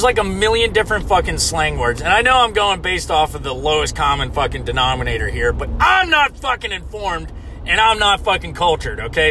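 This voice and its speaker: An American man with a high voice rants for comedy, cursing nearly every sentence. His tone is bright and sharp, loud and mock-indignant, with a faint hum of noise behind him. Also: low-quality recording. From United States. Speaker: comedic ranter. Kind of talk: profane comedic rant.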